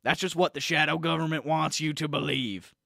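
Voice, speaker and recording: A man voicing a brash male news correspondent and supplement salesman who rants loudly and pushily about conspiracies in a comedy radio bit. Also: on the high side, fairly even intonation, brisk, creaky, no room sound, clean studio sound. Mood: ranting. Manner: ranting, loud, pushy.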